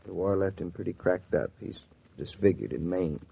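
Quiet young husband in a 40s radio play, low husky voice, gentle, dull old recording. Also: male voice, moderate pace, full character voice. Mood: subdued, tender. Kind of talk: 1940s radio drama acting.

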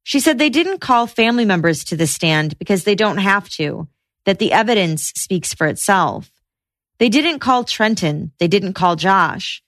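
A woman podcast host narrating trial news in a measured, reportorial way. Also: mid-range voice, expressive, a bit bright, no room sound.

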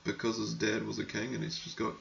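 A man hosting a casual hockey podcast and answering listener questions. He is losing his voice, clears his throat often, and talks in a rambling, frank, sometimes crude way. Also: lowish voice, completely flat delivery, fairly quick, a bit bright, dull audio. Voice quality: gravelly, croaky.

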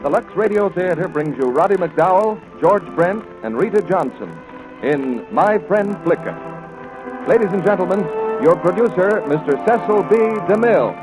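A man's voice: high-pitched, animated, moderate pace, low-quality recording. A man announcing a 1940s radio show in a grand, formal manner. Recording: old radio broadcast with loud music.

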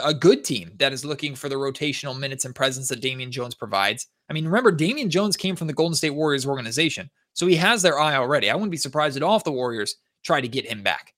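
A man with a fairly high, bright and forward voice hosts a sports podcast. He talks through basketball analysis in a conversational way, giving his opinions and leaning on key words for emphasis. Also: fast.

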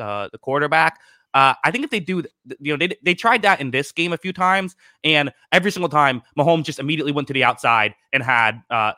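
Bright-voiced man, dry close sound. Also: a little high, dry recording. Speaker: sports podcast co-host. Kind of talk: conversational American football analysis.

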